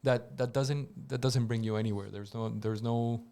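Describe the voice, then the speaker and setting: A man's voice: medium pitch, steady tone, normal speed, creaky, mellow. A man from Colombia, a guest engineer, telling his story on a casual radio talk show with many restarts and repeated words.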